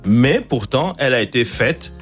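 A man runs the interview at an ordinary pitch, asking questions haltingly with many fillers. He jokes now and then. Faint music plays behind him. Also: expressive. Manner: hesitant, full of fillers, joking.

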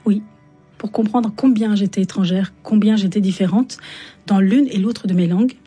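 Soft music sits faintly behind a woman with a dark, breathy voice. She is a native Turkish speaker from Istanbul who grew up in France. She answers an interviewer reflectively, in measured phrases. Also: medium pitch. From born in Istanbul, grew up in Chartres, France. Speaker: interview guest, author and singer.

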